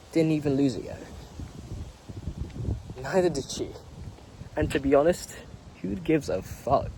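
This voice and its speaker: A man's voice: mid-range voice, dark tone, a little hiss or hum, rough recording. A man in his late teens, a YouTuber, talking through a rambling, earnest reflection and swearing casually.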